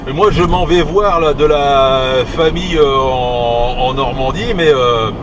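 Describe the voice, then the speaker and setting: A man's voice: mid-range voice, measured pace, distant mic, background noise. A man from northern France chatting casually over CB radio from his car, rambling with many fillers and restarts. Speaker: CB radio operator and video maker. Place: car on a road trip.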